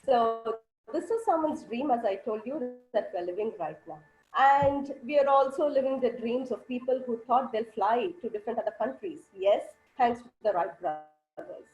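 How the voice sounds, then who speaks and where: A woman, a club president with a hoarse, coughing throat, giving cheerful storytelling feedback at an online meeting. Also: fairly high pitch, moderate pace, darkish tone, reverberant, dull audio, faint music behind.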